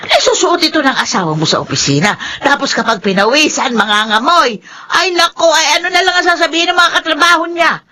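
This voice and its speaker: Bossy, exclaiming elderly Filipina matriarch for radio drama; forceful voice, lively swings. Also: female voice, sits high. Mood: scolding, nagging. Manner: nagging, exclamatory, drawn-out scolding. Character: bossy, nagging old Filipina mother-in-law, doting grandmother. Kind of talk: Philippine radio drama acting.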